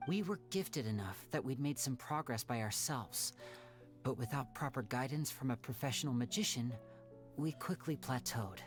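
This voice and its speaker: An actor playing a young male magician with secrets, speaking in a soft, reflective, measured game-dialogue style. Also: medium pitch, animated, natural voice. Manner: soft-spoken, reflective, measured theatrical delivery. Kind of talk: scripted dramatic game dialogue. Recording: music playing under the voice.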